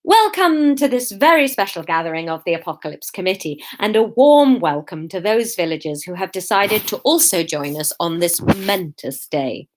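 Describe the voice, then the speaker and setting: A woman's voice: medium pitch, big pitch swings, slight background noise, natural voice. A woman voicing an earnest male village vicar who addresses a meeting formally, with some sternness, in a comic story. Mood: formal, welcoming. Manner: formal, measured public address.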